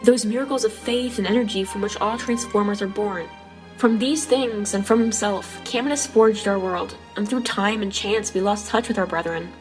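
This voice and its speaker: A woman voicing an earnest, determined robot heroine in an amateur comic dub, narrating in a reflective, measured way. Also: mid-range voice, natural voice, poor audio. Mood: solemn narration. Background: clear music bed.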